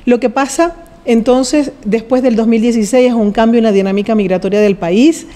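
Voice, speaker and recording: A woman's voice: on the high side, brisk, warm tone. A woman presenting research findings in an explanatory lecture, checking that listeners follow. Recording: long hall-like reverb.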